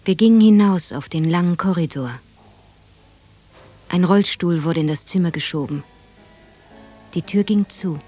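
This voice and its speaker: An actress playing a reflective woman recalling her childhood, narrating a radio drama in measured, plain sentences. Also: on the low side, warm tone, husky, faint music behind.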